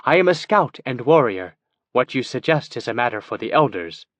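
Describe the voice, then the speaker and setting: A man's voice: medium pitch, big pitch swings, moderate pace, a bit bright, no echo. A man voicing a wary male elf scout and warrior who questions in a formal manner.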